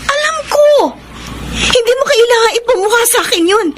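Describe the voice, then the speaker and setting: A woman's voice: extremely high pitch, animated, normal speed, a bit bright, a little hiss or hum. A woman with a Filipino accent playing a defensive, resentful wife in a radio drama. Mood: hurt, resentful.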